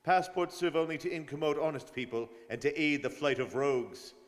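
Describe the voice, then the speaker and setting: A man's voice: a little high, steady tone, a little fast, close to an everyday voice. A man playing a suspicious, self-assured Scotland Yard detective in an old-time radio drama. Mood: sly, confident. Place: performed live in a studio. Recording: long hall reverb on stage voices.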